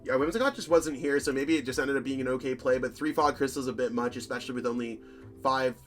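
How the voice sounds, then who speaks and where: Guy with a bit of a hoarse voice rambling through deck lists, medium pitch, lots of ums, soft music under him. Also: fairly even intonation, fast. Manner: chatty, rambling, lots of fillers and self-corrections. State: slightly hoarse. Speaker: channel host reviewing card game deck lists. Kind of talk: casual commentary over deck lists.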